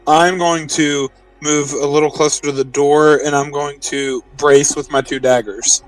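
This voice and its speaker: A man's voice: fairly high pitch, steady tone, unhurried. A man chatting casually as a player in a tabletop game. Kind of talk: casual in-character game chat. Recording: distant dry mic, music behind.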